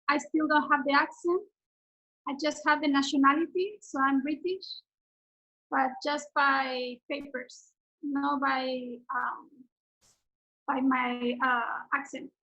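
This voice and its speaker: A high-voiced woman in her forties with an Argentine Spanish accent, teaching over a video call. She speaks English as a second language, earnestly, with many fillers and restarts. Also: fairly even intonation, takes it slowly, a bit bright, muffled recording.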